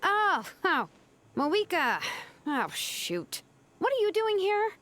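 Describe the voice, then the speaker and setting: Evasive young woman, high-voiced. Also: sing-song, slow pace, a bit bright, full character voice. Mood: flustered. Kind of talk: video game voice acting.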